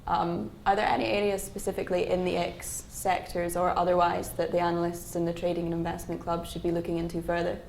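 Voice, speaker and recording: Female student interviewer, polite and a little hesitant, gravelly, low-ish voice. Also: little pitch movement, fast talker, hardly any pauses, darkish tone, echoey room, slight background noise, poor audio. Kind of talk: interview questions.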